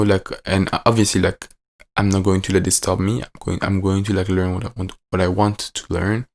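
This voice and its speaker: Teen guy on the edge of twenty, low voice, French accent, rambly and filler-heavy vlog delivery. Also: darkish tone. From French-speaking. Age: late teens. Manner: rambling, many fillers and restarts. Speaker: vlogger. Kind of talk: casual reflective vlog.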